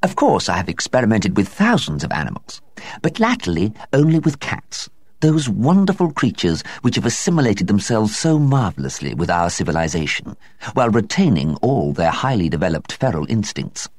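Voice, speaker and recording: Man reading as a pompous gentleman scientist, patient, lecturing, lively pitch, low voice, dry. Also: normal speed, no echo. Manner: patient, lecturing, self-satisfied. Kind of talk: storybook reading.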